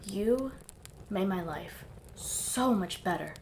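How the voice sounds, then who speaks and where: A woman's voice: medium pitch, lively intonation, takes it slowly, darkish tone, slight background noise, rough recording. An actress playing a blunt, teasing young woman adventurer in an audio drama.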